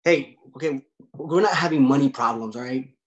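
A man's voice: medium pitch, moderate pace, muffled recording, lightly acted. A man acting a defensive, streetwise young guy in a comedy table read, stammering and repeating himself in slangy speech on a video call. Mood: flustered. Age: young adult. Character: defensive, blustering grown man, streetwise cousin. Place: home room on a video call. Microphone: laptop microphone.

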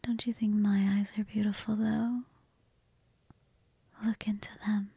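Woman playing a breathy, flirtatious vampire. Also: mid-range voice, flat delivery, no room sound. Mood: seductive. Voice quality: breathy, half-whispered.

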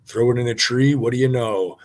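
A man on a video call running a live fishing-tackle auction, calling bids in a rapid rhythmic chant with joking banter. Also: mid-range voice, darkish tone. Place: home room on a video call.

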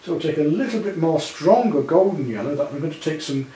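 Mellow older man teaching painting, roomy sound. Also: on the high side, lively intonation, fairly quick. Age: 60s. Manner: patient, reassuring, instructing step by step. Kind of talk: hands-on demonstration to a student. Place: living room at home, indoors. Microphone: camera a few feet away. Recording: roomy, distant mic with background noise.

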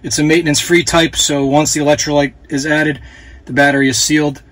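A man's voice: a little high, brisk. A man in his thirties walking through a hands-on tutorial outdoors, talking plainly into a phone camera held close, with fillers as he works. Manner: relaxed, plain-spoken, with fillers while working. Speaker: dealer host giving a how-to. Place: outdoors beside a jet ski.

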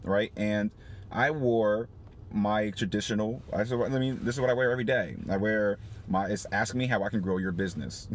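A man in his thirties talking casually in a parked car, animated and laughing often, with many restarts. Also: lowish voice, a little hiss or hum. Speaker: small business owner vlogger. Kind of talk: casual motivational vlog. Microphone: phone camera on dashboard.

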